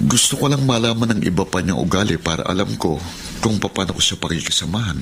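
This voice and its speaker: Earnest low-voiced young man. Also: lively intonation, quick pace, fairly bright tone. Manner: hesitant, sincere. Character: earnest, worried young working-class boyfriend. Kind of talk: radio drama acting. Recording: very noisy radio drama recording.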